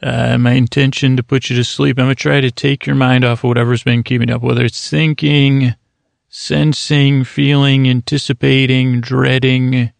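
A man hosting a sleep podcast, rambling in a meandering, soft-spoken bedtime monologue that keeps interrupting itself. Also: medium pitch, level tone, moderate pace, creaky, dry recording.

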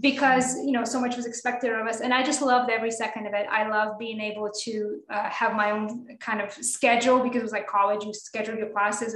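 A higher-pitched woman talks with eager, high energy as a guest on a radio arts program. Her speech runs quick and passionate, with frequent ums. The voice sits in a roomy, echoing space. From Russia. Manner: energetic, enthusiastic, many fillers. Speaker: guest pianist. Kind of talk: radio interview.

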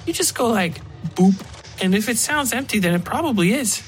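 A man's voice: high-pitched, lively intonation, normal speed, pitched above the performer's own voice. Guy reading as an impatient dad in a horror podcast, dismissive, music under him. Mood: casual, teasing. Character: dismissive, impatient husband and father, Mike. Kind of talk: horror audio fiction dialogue.

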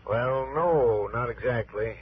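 Plain-spoken American desert local, muffled. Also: male voice, mid-range voice, slow, slightly rough. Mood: grave, reluctant. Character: plain-spoken, wary male desert motel keeper. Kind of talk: 1960s American radio drama acting. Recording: muffled, noisy old radio recording.

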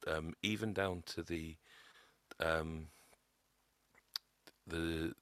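Stammery guy, low voice but bright, really dry sound, keeps stalling on words. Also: steady tone, dry recording. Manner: stammering, halting speech. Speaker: podcast guest. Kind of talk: personal testimony.

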